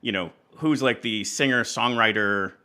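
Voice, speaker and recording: A man's voice: lowish voice, normal speed, sharp tone, smooth. A man hosting a casual chat podcast, talking in a rambling, hesitant way and often interrupting himself. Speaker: podcast host.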